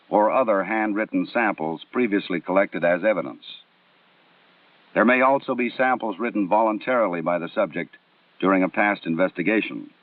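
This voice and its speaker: Male American narrator for a vintage 1960s training film: formal, measured, exact diction, thin band-limited audio, slightly off-mic. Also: lowish voice, no echo, far from the mic. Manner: measured, instructional, precisely enunciated. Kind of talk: formal scripted documentary narration. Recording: muffled narrow-band 1960s film soundtrack.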